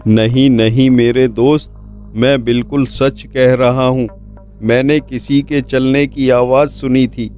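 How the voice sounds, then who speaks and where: A man's voice: mid-range voice, little pitch movement, darkish tone, clear, resonant tone, natural voice. A man voicing a frightened village man who pleads for his life in a horror cartoon story. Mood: anxious. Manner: pleading, drawn-out. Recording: music behind the voice.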